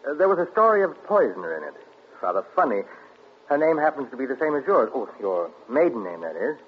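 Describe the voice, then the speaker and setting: An uneasy young husband, played by a man, probing with forced casualness. His voice swings up and down. It comes through a dull, muffled 1940s radio broadcast with steady hiss. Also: medium pitch, quick pace, lightly acted.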